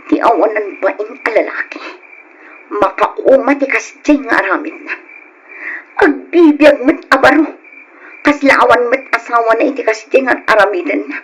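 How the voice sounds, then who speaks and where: A woman's voice: on the high side, animated, moderate pace, long pauses, close to an everyday voice. Woman doing a fed up nagging wife, ilocano accent, old radio soap, sighs and complains, bit of background noise. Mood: fretful, exasperated. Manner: complaining, sighing. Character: exasperated neglected wife, nagging and fretting over her absent husband. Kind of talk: old-time Ilocano radio drama acting. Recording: noisy old radio drama recording.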